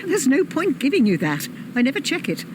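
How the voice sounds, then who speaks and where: A woman acting a sarcastic, impatient older cafe customer in an audio drama. Her voice is fairly high and swings in pitch as she scolds and demands. It was recorded outdoors over clearly audible cafe and traffic noise. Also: huge pitch swings, brisk. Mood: brisk, sarcastic. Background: cafe and street ambience.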